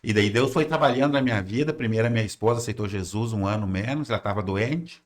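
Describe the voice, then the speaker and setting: A man's voice: on the low side, fast. A man in his sixties from southern Brazil, a pastor telling his life story in plain, rambling rural speech. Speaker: pastor and musician guest. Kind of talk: casual interview answers.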